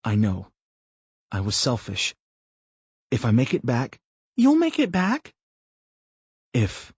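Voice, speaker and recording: A weary, earnest father in an audiobook, voiced by a man. He pleads softly, with a husky, lively voice. The recording is dull and dry. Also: medium pitch, takes it slowly, no echo, voice pushed up, exaggerated voice. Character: troubled, earnest middle-aged father.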